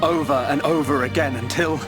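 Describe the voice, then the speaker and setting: An actor playing a resolute, compassionate young hero in a video game cutscene, speaking in an earnest, plain, determined way. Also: male voice, on the high side, fairly even intonation, a little fast, over music, lightly acted. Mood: gentle resolve.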